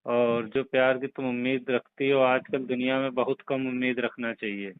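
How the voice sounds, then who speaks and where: A man's voice: medium pitch, flat delivery, normal speed, clear, resonant tone, natural voice. A man playing a flirtatious boss in a phone conversation, coaxing and often checking that he is being followed. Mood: reassuring, insistent. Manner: coaxing, repeatedly checks for agreement. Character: flirtatious male boss coaxing a former employee back. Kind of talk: staged phone call conversation. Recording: tinny phone line.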